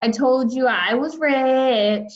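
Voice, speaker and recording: Woman as a spoiled, bossy little girl, drawn-out delivery. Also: high voice, lo-fi sound. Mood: boastful. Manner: whiny, insistent, often whispering. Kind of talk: children's book read-aloud.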